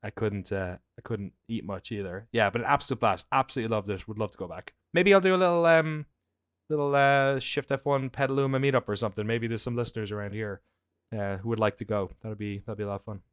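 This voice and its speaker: Clear-voiced Irish man chatting. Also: lowish voice, fairly even intonation, fast talker, no echo, clean studio sound. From Ireland. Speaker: podcast co-host. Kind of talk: casual chat, anecdotes. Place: indoor room at home on a video call.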